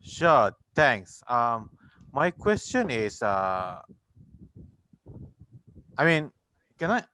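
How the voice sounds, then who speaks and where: A man's voice: mid-range voice, big pitch swings, very slow, long pauses, pushed and bright, no room sound. A man asking a question on an online townhall, hesitant at first and then direct. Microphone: video call.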